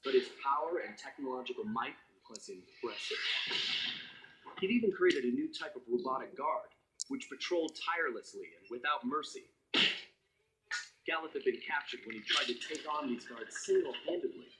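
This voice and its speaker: A man's voice: mid-range voice, expressive, normal speed, fairly bright tone, a little hiss or hum, rough recording. An American man voicing a cool, loyal young master thief who narrates his adventures in a wry, conversational storytelling way for a video game cutscene. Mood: wry, determined. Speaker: narrator and hero.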